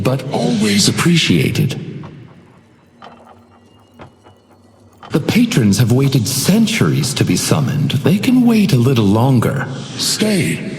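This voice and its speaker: A man's voice: mid-range voice, a little mellow. A man voicing a video game's supernatural hotel doorman, sinister and smug, taunting with silky, theatrical mock courtesy. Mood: courteous, ominous. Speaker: game villain voice lines. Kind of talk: theatrical video game character voice lines. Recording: roomy echo with long reverb.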